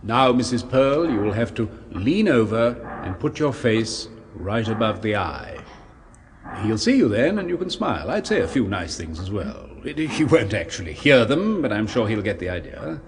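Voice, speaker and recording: An actor playing a patronising, eager British doctor-scientist with precise, clinical speech in a 1970s radio horror drama. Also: male voice, fairly low pitch, sing-song, normal speed. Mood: instructing. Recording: noisy old radio recording.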